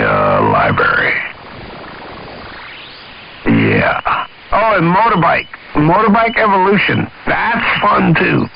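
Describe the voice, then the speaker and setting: A man's voice: a little high, creaky. An American man chatting casually and emphatically over two-way radio, using slang and swearing freely. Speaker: CB radio operator. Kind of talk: casual chat about video games. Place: on-air CB radio transmission. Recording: dull CB radio with hiss.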